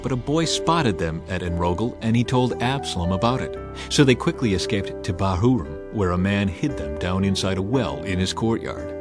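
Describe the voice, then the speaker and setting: A man reading scripture as a dramatized audiobook narration, measured and emphatic in his storytelling. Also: low voice, lively intonation. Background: music under the voice.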